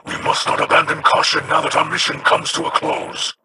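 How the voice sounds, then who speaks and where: An actor voicing a stern, dignified male alien elder in a video game, measured and formal. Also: fairly high pitch, flat delivery, bright, forceful tone, slight background noise. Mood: grave.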